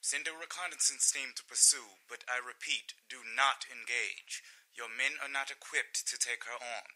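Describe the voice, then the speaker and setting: A man's voice: medium pitch, fairly even intonation, normal speed, pushed and bright, poor audio. A man playing a stern military superior in an audio drama, giving orders in a clipped, detached, authoritative way. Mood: firm.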